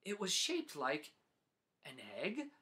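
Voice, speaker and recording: A man in his forties narrating a children's storybook as host, with deliberate, dramatic phrasing. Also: high voice, sing-song, bright, forceful tone. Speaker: host reading picture books aloud.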